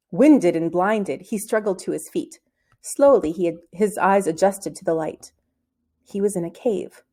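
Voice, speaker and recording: Woman, storybook reader, expressive narration, mid-pitched, animated intonation. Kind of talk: expressive read-aloud narration of a children's novel.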